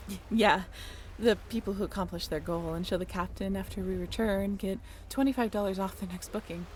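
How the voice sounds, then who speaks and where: A woman's voice: medium pitch, a little fast, a little mellow, a little hiss or hum, close to an everyday voice. A woman playing a friendly, easygoing ship's crew member, chatting informally in a roleplay audio drama. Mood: friendly, amused.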